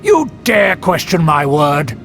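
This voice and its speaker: A man's voice: on the high side, sing-song, slow, creaky, a bit bright, faint music behind, exaggerated voice. An actor playing a haughty, easily offended nobleman in a video game, with pompous, lofty, formal phrasing. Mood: indignant. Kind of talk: video game dialogue acting.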